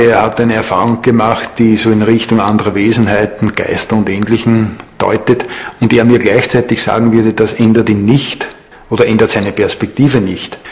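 Male expert interviewee, lowish pitch, formal and measured academic delivery. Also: a little hiss or hum. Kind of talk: considered interview commentary.

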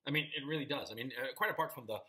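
A man's voice: medium pitch, rapid-fire. A man in his fifties from Chile, talking casually and emphatically to camera in a small room at home through a webcam mic. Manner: animated, gesturing with hands, emphatic. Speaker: vlogger. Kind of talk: casual opinion monologue to camera.

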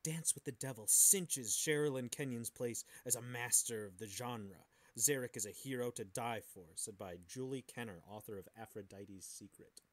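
A man's voice: medium pitch, moderate pace, few pauses. A man co-hosting a podcast, bantering casually and reading aloud. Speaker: podcast host.

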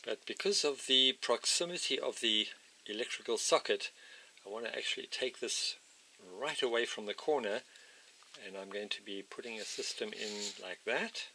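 A man's voice: medium pitch, normal speed, background noise. A man walking through a home plumbing repair in an outdoor workshop, explaining step by step with frequent ums and uhs. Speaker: DIY video maker. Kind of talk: hands-on home repair walkthrough. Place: outdoor workshop area by a house.

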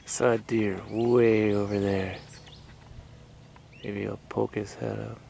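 A man's voice: fairly low pitch, takes it slowly, gravelly, a little hiss or hum. A middle-aged man with an Upper Midwest American accent, chatting casually to an action camera while fishing from a kayak on a pond. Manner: chatty, talks to fish and deer, excitable. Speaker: fishing vlogger. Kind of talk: casual outdoor commentary. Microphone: action camera mounted on kayak.

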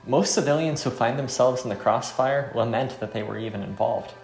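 A man plays a courteous, battle-eager male fighter in a visual novel game. His voice is creaky and formal in phrasing, in a roomy recording. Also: medium pitch, brisk, darkish tone, faint music behind, close to an everyday voice. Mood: curious, polite.

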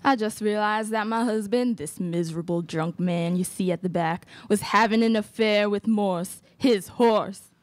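A woman's voice: mid-range voice, moderate pace, dry recording, lightly acted. A young woman playing an aggrieved, accusing wife in a student radio play at a close microphone. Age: young adult. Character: indignant, accusing wronged Western wife. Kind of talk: student radio theatre acting. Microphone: close stage microphone.

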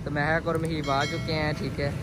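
A man's voice: on the high side, flat delivery, moderate pace. A young man vlogging on a phone camera, narrating an outing to a bakery and coaxing children along with short repeated prompts. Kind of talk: casual vlog narration. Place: outdoors on a street and inside a small bakery. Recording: clear street and shop noise behind.

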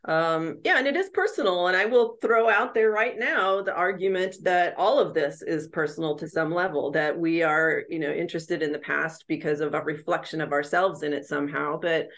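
A woman's voice: deep voice, moderate pace, hardly any pauses, a bit bright. A middle-aged woman historian chatting over a video call from a room at home, thoughtful and reflective, with many fillers. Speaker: history professor. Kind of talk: casual chat. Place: home room with a door and shelves.

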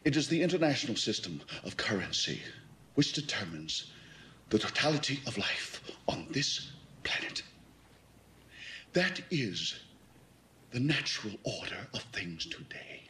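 An actor playing a domineering middle-aged American corporate tycoon, preaching in a grandiose theatrical film monologue with emphatic, deliberate phrasing. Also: male voice, medium pitch, big pitch swings, a bit bright, a little hiss or hum. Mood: quiet menace.

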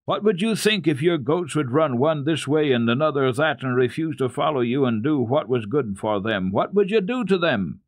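Guy doing stern old grandpa in a kids storybook, kindly but telling you off, really animated pitch, dry sound. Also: no echo, natural voice. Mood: stern, chiding. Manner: admonishing, measured.

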